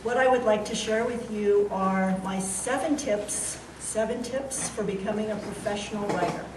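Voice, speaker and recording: A woman author born in Iran and raised in the San Francisco area, giving a measured storytelling talk to students in a school library. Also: medium pitch, noisy background. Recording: distant, roomy school library.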